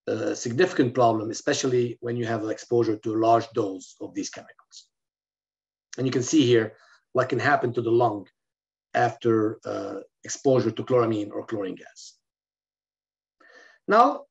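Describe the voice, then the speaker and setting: A middle-aged man from Lebanon giving a recorded medical lecture over a video call, explaining steadily with fillers and restarts. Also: medium pitch. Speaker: physician lecturer. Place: indoor room, in front of a plain wall. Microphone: webcam on a video call. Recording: slightly dull, lo-fi video call audio.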